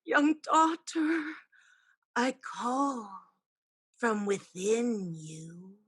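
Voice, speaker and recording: A woman playing an eerie ancestral spirit who is secretly a scheming sorceress, calling in a drawn-out, incantatory way in a staged reading. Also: fairly high pitch, big pitch swings, slightly rough, full character voice. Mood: solemn, summoning.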